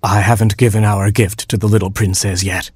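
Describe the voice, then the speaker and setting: A man's voice: lowish voice, level tone, a little fast, a little mellow, breathy edge, no echo, studio quality. A man voicing a kindly, solemn beetle bishop making a declaration.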